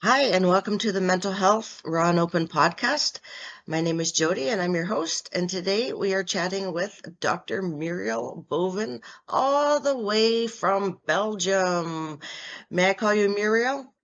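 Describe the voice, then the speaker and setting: An effusive woman hosting a podcast interview. Her talk is casual and loose, full of "like" and "um" as she reacts to her guest with delight. Her pitch sits in a middle range. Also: animated, unhurried, highs cut off. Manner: gushing, enthusiastic reactions; uses many fillers like "like" and "um". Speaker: podcast host.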